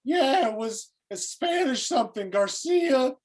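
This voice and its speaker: A woman's voice: high-pitched, lively intonation, darkish tone. A woman acting a flustered, hesitant young male security guard in a script table read. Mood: flustered, defensive. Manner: halting, unsure.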